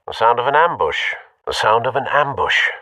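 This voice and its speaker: An actor playing a loyal older butler with a British accent in a video game, formal and dryly understated. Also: male voice, mid-range voice, big pitch swings, moderate pace, sharp tone, no echo, recorded from a distance, rough recording. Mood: cautious. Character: refined, loyal, concerned older butler man.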